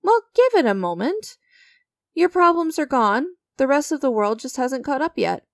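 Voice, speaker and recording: A woman's voice: high voice, very animated, normal speed, no room sound, studio quality. A woman playing an enigmatic, creepy stranger who strikes sinister deals in a dramatic audio roleplay, teasing and theatrical with a smug, coaxing manner. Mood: smug, playful.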